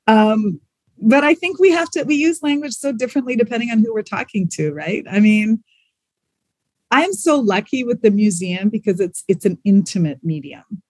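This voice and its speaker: A woman's voice: fairly high pitch, expressive, normal speed, darkish tone, slightly rough, dry recording, rough recording. A woman speaking in reflective conversation, thoughtful and articulate, correcting herself as she goes. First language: English. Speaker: museum professional, interview guest.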